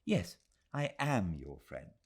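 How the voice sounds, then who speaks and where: A man voicing a kindly, tired elderly English lady with a gentle, polite manner, in an audiobook. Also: low voice, big pitch swings, slow, gravelly, slightly rough, full character voice. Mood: affectionate.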